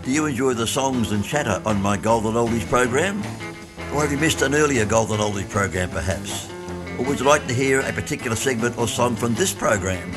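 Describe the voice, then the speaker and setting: A man hosting a community radio oldies show, a little croaky from a chesty cough, chatty and rambling. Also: mid-range voice, brisk, music in the background. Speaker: radio host. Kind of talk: casual radio chat.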